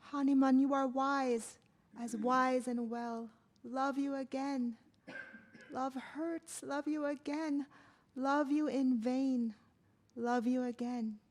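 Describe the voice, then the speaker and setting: A woman's voice: sits high, darkish tone. A woman reading the part of a queen torn between two loves at a table read, reciting her lines like a poem. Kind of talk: table read of a stage play.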